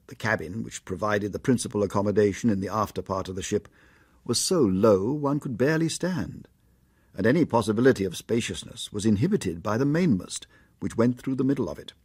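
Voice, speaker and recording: Genteel doctor, animated, slightly husky. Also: male voice, on the low side, full character voice. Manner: polite, precise, genteel. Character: courteous, world-weary, educated male doctor abroad. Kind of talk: radio drama acting with first-person narration.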